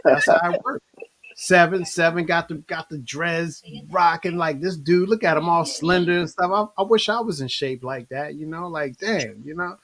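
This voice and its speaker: A man hosts a relaxed online interview over a video call in a casual, conversational way. He keeps the talk loose and friendly, dropping in bro and you know as he goes. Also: on the high side, normal speed. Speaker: online show host.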